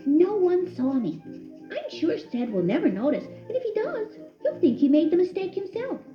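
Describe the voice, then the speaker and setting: A woman voicing a young boy mouse who is greedy and later guilty, with a high squeaky voice and a stammer. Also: sing-song, moderate pace, reverberant, faint music behind. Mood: smug. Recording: dull lo-fi recording.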